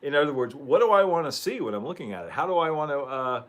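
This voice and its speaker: A man hosting a casual livestream chat, rambling and chuckling, clearing his throat as he loses his voice. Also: a little high, big pitch swings, fast talker, fairly bright tone. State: losing his voice. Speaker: livestream host.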